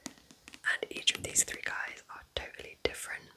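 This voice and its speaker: A woman speaking in a soft, breathy, unvoiced whisper, gently and intimately, in a casual ASMR style as she recommends books. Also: moderate pace.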